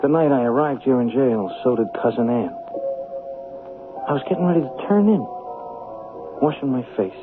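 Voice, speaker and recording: Tinny radio, agitated male hustler. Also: mid-range voice. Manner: agitated, melodramatic. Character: desperate, unpleasant male hustler and convicted killer. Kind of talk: 1970s radio drama acting. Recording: narrow phone-like old radio, loud music behind.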